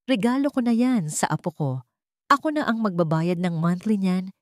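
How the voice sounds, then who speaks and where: A woman's voice: mid-range voice, expressive, moderate pace, dry recording, clean studio sound, lightly acted. A woman voicing a scheming older mother-in-law in an audiobook drama, sugary and commanding. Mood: sweetly pressing.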